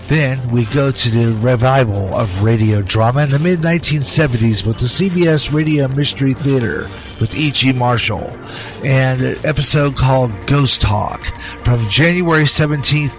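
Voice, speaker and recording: A male radio host reads a scripted show introduction. His pitch is average for a man, and music plays faintly behind him. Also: poor audio.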